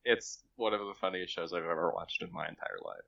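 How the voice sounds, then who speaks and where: A man's voice: deep voice, brisk, creaky, pushed and bright, dry recording, muffled recording. A man chatting casually as a guest author on a podcast, giving recommendations.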